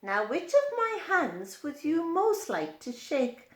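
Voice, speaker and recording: A woman's voice: sits high, big pitch swings, takes it slowly, pitched above the performer's own voice, full character voice. Woman reading as a friendly centipede in an echoey room. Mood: friendly, eager. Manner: chatty, greeting the listener with questions. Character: friendly, polite many-legged centipede. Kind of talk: children's picture-book read-aloud. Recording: roomy, echoing indoor sound.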